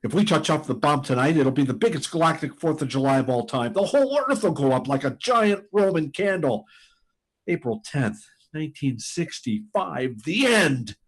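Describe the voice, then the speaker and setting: An actor playing a frantic, halting test pilot pleading to warn of doom in a sci-fi radio drama. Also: male voice, fairly high pitch, animated, breathy edge, poor audio. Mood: desperate pleading. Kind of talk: live performance of 1950s sci-fi radio drama.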